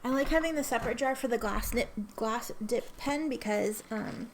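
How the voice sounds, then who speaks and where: A woman vlogging casually with a bit of vocal fry running through her words. She is sniffly from allergies and rambles, correcting herself mid-sentence and filling gaps with ums. Faint room noise sits behind her. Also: a little high, normal speed. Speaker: ink swatching vlogger. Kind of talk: casual chatty vlog.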